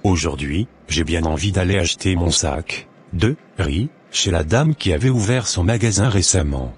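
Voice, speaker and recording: A man's voice: sits low, expressive, moderate pace, a little hiss or hum. A man voicing a courteous, friendly shop customer in a cartoon, speaking politely.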